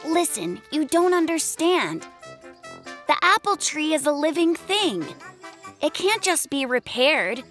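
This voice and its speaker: An earnest, stubborn little brown bear from a kids' cartoon, very high and bright. The delivery is slow and plaintive, the voice of a caring nature lover. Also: very animated, faint music behind, heavily acted. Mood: worried, hurt. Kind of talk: children's cartoon dub acting.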